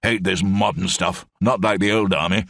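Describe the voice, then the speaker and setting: A man's voice: low voice, fairly even intonation, moderate pace, vocal fry, a bit bright, dry recording, clean studio sound. A man voicing an elderly, bumbling brigadier with clipped, rambling upper-class speech. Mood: gruff.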